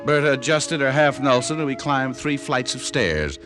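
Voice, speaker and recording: An actor playing a cool, wisecracking private detective with an American accent and wry wit, in old-time radio drama style. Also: male voice, mid-range voice, animated, normal speed, music in the background, natural voice. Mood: wry, narrating. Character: wisecracking, cool male private detective narrating his case. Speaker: lead actor and narrator.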